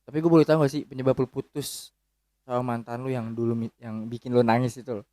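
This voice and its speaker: A man hosting a casual chat, firing short teasing questions and quick interjections. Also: mid-range voice, little pitch movement, heavy background noise.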